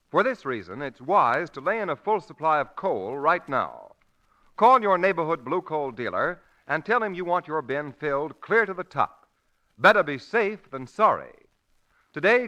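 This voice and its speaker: A man's voice: a little high, sharp tone. A man announcing from a script in an emphatic, declamatory 1940s radio style. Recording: vintage 1940s radio broadcast.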